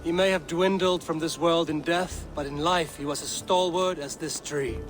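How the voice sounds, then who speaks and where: An actor playing a stern, steadfast Viking warrior in a video game, blunt and measured in speech. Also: male voice, a little high, steady tone, over music, lightly acted. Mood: solemn, consoling. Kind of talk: video game cutscene acting.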